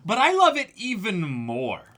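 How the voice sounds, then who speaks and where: A man's voice: sits high, wildly swooping pitch, unhurried, bright, forceful tone. A man chatting casually and commenting as co-host of a dramatic manga reading.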